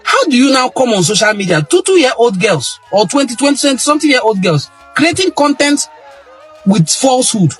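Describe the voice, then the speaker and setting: A man's voice: extremely high pitch, very animated, a little fast, a bit bright, faint music behind. A middle-aged Nigerian man giving an impassioned monologue on history, emphatic and repeating phrases for stress. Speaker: cultural history commentator.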